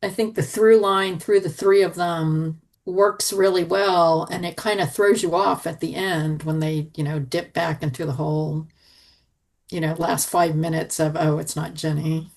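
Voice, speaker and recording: A woman's voice: deep voice, fairly even intonation, a little slow, gravelly, darkish tone. A woman on a podcast panel chatting casually about a review.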